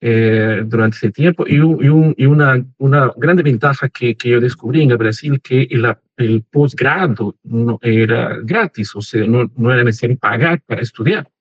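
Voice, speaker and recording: A man in his forties from Lima with a Peruvian accent and some Portuguese-tinged wording. He is a professor giving an unhurried, conversational webinar talk, tagging his sentences with "¿no?" and "¿eh?". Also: medium pitch, no room sound. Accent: Peruvian Spanish with Portuguese-tinged wording. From Peru, Lima. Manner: unhurried and conversational, with frequent "¿no?" and "¿eh?" tags. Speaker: professor, guest speaker. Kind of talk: webinar presentation. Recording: slightly muffled online call audio.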